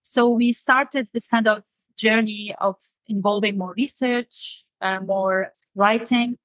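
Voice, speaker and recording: A woman's voice: mid-range voice, steady tone, takes it slowly, a bit bright, no room sound, rough recording. Woman, dry close sound. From Damascus, Syria. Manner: hesitant, searching for words. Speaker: podcast guest, designer. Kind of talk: thoughtful interview conversation.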